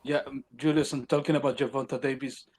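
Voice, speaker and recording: A man in a casual boxing debate, starting haltingly and then arguing firmly and emphatically. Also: mid-range voice, little pitch movement, a little mellow.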